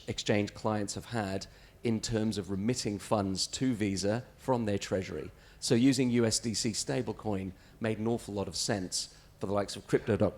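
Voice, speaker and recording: A man with an Australian accent speaking on a conference panel, answering in a measured corporate way with small restarts. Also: lowish voice, a little mellow. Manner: measured, corporate, frequent self-corrections.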